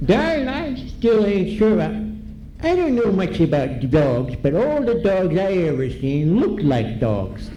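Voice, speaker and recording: A doubtful, folksy father in an old-time radio comedy. His bemused voice is high and drawn out, with big swings in pitch. The sound is echoey and noisy. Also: wildly swooping pitch, a little slow, mellow, exaggerated voice. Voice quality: folksy exclamations, colloquial speech. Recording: dull, echoey, noisy ballroom recording.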